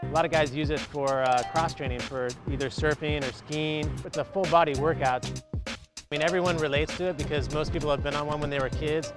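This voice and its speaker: Higher-pitched man chatting over music. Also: fairly even intonation, a little fast. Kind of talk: casual explanation. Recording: music under the voice.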